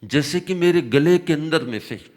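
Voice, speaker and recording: A man teaching a religious discourse, his voice slightly hoarse, explaining slowly with repetition and emphatic rises. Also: on the high side, animated, darkish tone. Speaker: Jain spiritual teacher.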